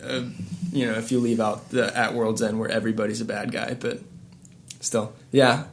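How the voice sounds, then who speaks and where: A man's voice: fairly low pitch, flat delivery, moderate pace, vocal fry, a little hiss or hum. A man co-hosting a podcast in casual banter, giving blunt opinions. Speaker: podcast co-host.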